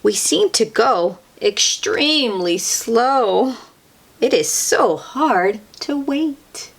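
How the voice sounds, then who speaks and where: Female children's read-aloud host: unhurried, lively pitch, a touch breathy, sing-song and engaging the listener. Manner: sing-song, asks the listener questions with soft exclamations. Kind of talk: expressive picture-book read-aloud for young children.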